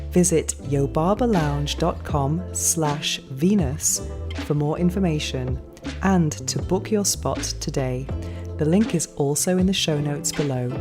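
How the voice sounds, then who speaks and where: A woman hosting a podcast, reading an ad and introducing her guest in a measured, scripted way. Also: deep voice. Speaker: podcast host. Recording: music bed under voice.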